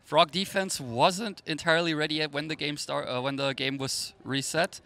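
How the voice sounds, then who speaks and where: A man's voice: a little high, fairly even intonation, moderate pace, pushed and bright. An Austrian-accented man, a native German speaker, giving chatty, informative live sports commentary in English. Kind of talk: live quadball match commentary, conversational.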